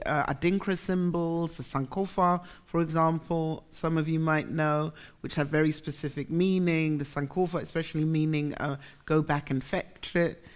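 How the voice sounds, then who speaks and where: Caribbean-born woman raised in germany, professor on a panel, thoughtful, gets lively, laughs a lot. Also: low-pitched. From Caribbean, grew up in Germany. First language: English, Caribbean variety. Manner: animated, reflective, laughs easily. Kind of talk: panel discussion.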